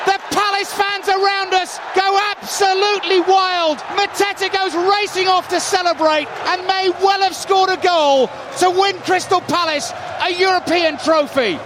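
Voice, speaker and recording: A man giving live football commentary, shouting over the stadium crowd, played as a clip. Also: very high voice, steady tone, a little slow, few pauses. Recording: archive clip, loud crowd noise.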